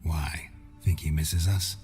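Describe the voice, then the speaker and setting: A man playing a gruff monster hunter in a video game, terse and dry but tender, with a gravelly voice. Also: very deep voice, fairly even intonation, takes it slowly, a little mellow, soft background music. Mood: low, intimate. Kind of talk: video game cutscene acting.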